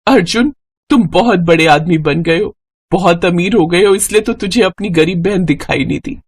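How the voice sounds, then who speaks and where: A woman's voice: lowish voice, normal speed, breathy edge. A woman voicing a proud, hurt elder sister who speaks with bitter reproach in a moral-story cartoon.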